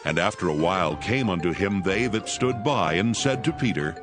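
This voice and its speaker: Male narrator, lowish voice, solemn, scripted scripture storytelling, musical background. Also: normal speed, music in the background.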